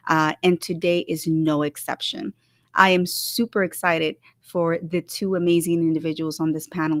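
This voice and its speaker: A woman from El Salvador hosting a casual livestream talk show, bubbly and effusive, repeating words for emphasis as she gives viewers shout-outs. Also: on the low side, no room sound.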